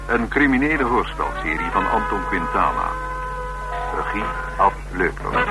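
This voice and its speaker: A man's voice: low voice, sing-song, a little slow. A man reading a scripted title announcement for a radio serial. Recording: old radio recording under loud brass music.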